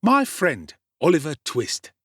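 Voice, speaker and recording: A man's voice: on the high side, huge pitch swings, takes it slowly, a bit bright, breathy edge, dry recording, studio quality, pitched above the performer's own voice, full character voice. A man voicing a brash, self-assured young London pickpocket boy in a dramatic audiobook. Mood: cocky, brisk.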